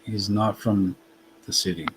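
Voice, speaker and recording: A man's voice: lowish voice, steady tone, a little slow, background noise. A man with a light Massachusetts accent, a guest in a casual live chat, correcting people in a plain, matter-of-fact way.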